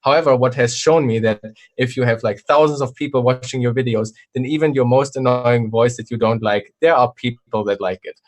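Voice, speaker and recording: Guest, man, mid pitch, hesitant, thoughtful, fills pauses with "like". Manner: hesitant, many fillers, thoughtful. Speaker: guest, video maker. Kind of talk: casual chat.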